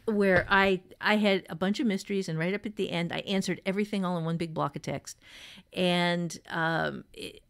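A woman's voice: on the low side, vocal fry. A woman co-hosting a talk on fiction craft, explaining things in an emphatic, conversational way. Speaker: podcast co-host and novelist giving writing advice. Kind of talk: conversational writing advice.

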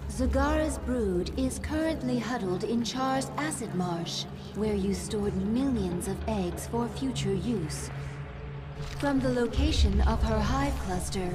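An actress playing a composed female alien adviser in a video game, delivering mission briefings in a formal, measured manner. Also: a little high, very noisy, close to an everyday voice. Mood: informative.

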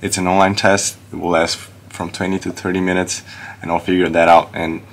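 Deep-voiced young man vlogging. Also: little pitch movement, a little fast. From Brazil. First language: Portuguese. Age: young adult. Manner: upbeat, chatty, lots of uh fillers. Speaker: college student vlogger. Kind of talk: casual vlog narration. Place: dorm room, indoors. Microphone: camera at arm's length.

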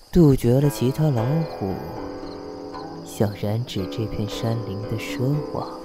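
A man acting in a costume audio drama as a composed, shrewd prince, speaking with measured, deliberate pauses and cold disdain. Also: mid-range voice, music in the background, natural voice. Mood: solemn, disdainful. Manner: measured, deliberate, pausing between phrases.

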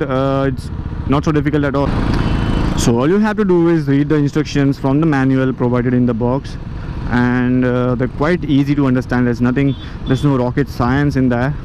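A man's voice: mid-range voice, a little mellow. A man with an Indian accent giving a casual, rambling gear review as a motorcycle vlogger, often repeating and rephrasing himself. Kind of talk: casual spoken product review while riding. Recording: outdoor traffic and vehicle noise.

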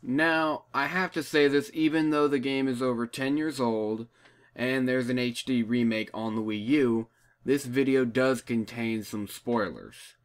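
A man's voice: medium pitch, fairly even intonation, unhurried, smooth. A man giving a casual, scripted opinion narration as a video game reviewer. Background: background music.

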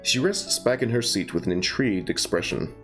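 A man's voice: lowish voice, expressive, a little fast, a bit bright, soft background music. A young man on a headset mic reading a visual novel aloud, joking and stumbling through lines, with casual asides. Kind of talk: casual let's-play narration.

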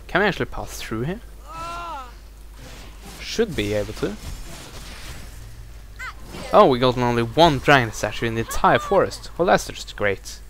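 A man's voice: medium pitch, big pitch swings, unhurried, pauses often, noisy background. A young man with a Norwegian accent, casually commenting on a video game he plays, with dry, sarcastic asides. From Norway. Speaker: gameplay commentator. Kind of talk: casual let's-play commentary.

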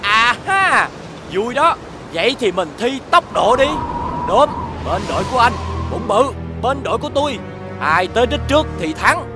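A man's voice: very high-pitched, big pitch swings, moderate pace. A man voicing a playful, competitive wind spirit in a children's cartoon, teasing and boastful, with a Southern Vietnamese accent. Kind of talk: children's animated dubbing. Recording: music behind the voice.

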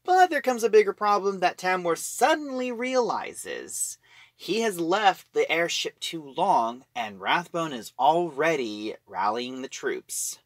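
A man giving a casual spoken review and plot retelling, in a halting manner, piecing phrases together as he goes. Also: a little high, sing-song, no echo. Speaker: audio drama reviewer.

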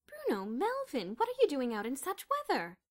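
Audiobook voice of an indignant middle-aged wife, put on by a woman narrator, high and loud, scolding in sharp, clipped bursts. She talks in a mid-range voice pushed well up in pitch and volume. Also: expressive, a little fast, natural voice. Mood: concerned.

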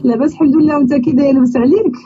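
Guy faking a high-voiced moroccan young woman, super fast, keeps asking "you get me?", dull lo-fi sound. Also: fairly even intonation. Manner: chatty, pleading, repeats "you understand?". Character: young woman from abroad seeking a husband. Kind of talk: prank phone call. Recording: dull lo-fi sound with faint noise.

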